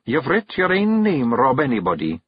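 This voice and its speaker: A man voicing a small, sharp-tongued Scottish fairy clan queen who scolds and coaxes, in a dull, dry recording. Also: medium pitch, wildly swooping pitch, normal speed, breathy edge, no echo, voice pushed up. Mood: encouraging. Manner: scolding, coaxing, artful.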